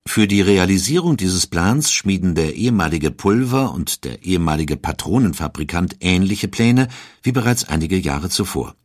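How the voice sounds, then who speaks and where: Deep, husky male documentary narrator. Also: animated, moderate pace, hardly any pauses, dry recording, clean studio sound.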